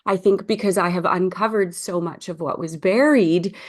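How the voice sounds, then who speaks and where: A woman in her forties with a lowish voice talks as a podcast guest. She sounds earnest and reflective. She speaks with a grateful warmth about her own path. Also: moderate pace. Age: 40s. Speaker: recovery coach, guest. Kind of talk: casual interview chat.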